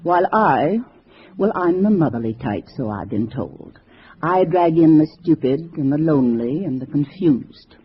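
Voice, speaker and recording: A calculating female communist cell leader from a vintage radio drama. Her husky voice moves in lively swings as she hands out businesslike orders. The old recording is dull. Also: low voice, moderate pace, heavily acted. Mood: cool scheming. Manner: businesslike, instructing. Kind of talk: 1950s radio drama acting.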